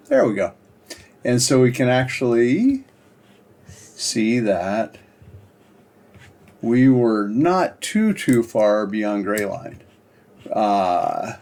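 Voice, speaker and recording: A man presenting a casual screen-share software demo in an informal, halting, explanatory way. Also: on the low side, vocal fry. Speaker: presenter demonstrating a software project.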